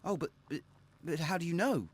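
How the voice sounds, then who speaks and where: An actor playing a nervous, poor young man in a video game, with a husky, halting, stammering delivery. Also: fairly high pitch, lively intonation. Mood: flustered, amazed. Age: young adult. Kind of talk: scripted video game dialogue.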